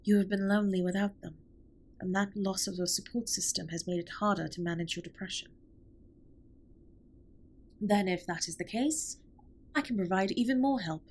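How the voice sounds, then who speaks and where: A woman playing a formal, earnest, caring alien spaceship captain in a scripted comfort roleplay, speaking softly and breathily with measured, precise wording. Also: mid-range voice, close to an everyday voice. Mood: resolute, caring. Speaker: audio roleplay performer. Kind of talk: scripted comfort roleplay, intimate one-sided dialogue.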